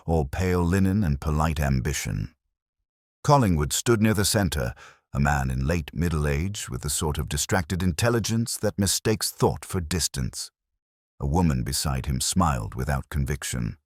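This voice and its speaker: A man plays a brooding, literary police detective with an extremely deep voice. He narrates a radio mystery in the first person, measured and solemn with a wry edge. His pitch rises and falls widely, and the sound is dry, with no room around it. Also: no echo, exaggerated voice.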